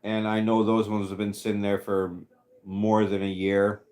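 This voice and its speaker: A man in his fifties hosting a casual livestream chat, rambling and halting with many fillers as he answers viewers. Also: fairly low pitch, monotone, darkish tone. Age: 50s. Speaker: livestream host reading chat.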